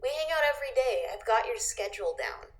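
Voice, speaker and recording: A woman acts out a helpful young female friend in an audio drama. She has a high voice and a casual, teasing way of nudging people along. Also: very animated, quick pace. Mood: eager, teasing.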